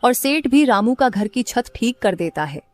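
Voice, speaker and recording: A woman's voice: a little high, very animated, moderate pace, a little mellow, dry recording, soft background music. A woman reading scripted narration as the narrator of a story.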